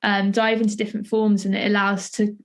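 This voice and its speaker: A woman's voice: medium pitch, flat delivery, fairly quick. A woman speaking as a podcast host and interviewer. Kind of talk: scripted introduction, then conversational interview.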